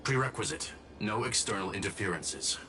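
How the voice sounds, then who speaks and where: Lowish, precise, formal male expert played by an actor. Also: animated, normal speed, background noise, close to an everyday voice. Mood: calm, formal. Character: composed, formal male expert reporting status. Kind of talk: scripted video game dialogue.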